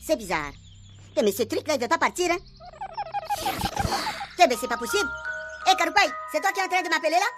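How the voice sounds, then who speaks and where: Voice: sits high, very animated, a little fast, pauses often. A performer playing a puzzled, insistent young housemate in a comic radio serial. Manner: bewildered, insistent. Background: music.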